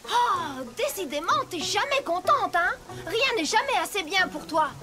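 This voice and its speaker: A woman's voice: extremely high pitch, very animated, measured pace, exaggerated voice. A woman voicing a blunt, hardworking farm pony mare in a cartoon dub, practical and teasing. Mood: exasperated. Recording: loud cartoon music and rain behind.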